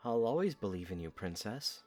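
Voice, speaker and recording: A man's voice: fairly low pitch, big pitch swings, fairly quick, warm tone, faint music behind. A man playing a loyal young soldier in an audio drama, blunt, protective and earnest. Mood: gentle, devoted. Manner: earnest, direct.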